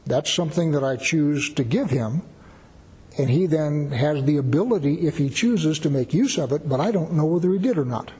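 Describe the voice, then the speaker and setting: A man's voice: fairly high pitch, expressive, normal speed, darkish tone, in a large hall. A man giving a conversational verse-by-verse Bible teaching, explaining with everyday examples.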